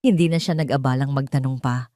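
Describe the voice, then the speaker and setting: A woman's voice: a bass voice, fast, mellow, no room sound, studio quality, voice pushed down. A woman voicing a troubled, devoted man, a doctor, speaking in a subdued and sincere manner. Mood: listless.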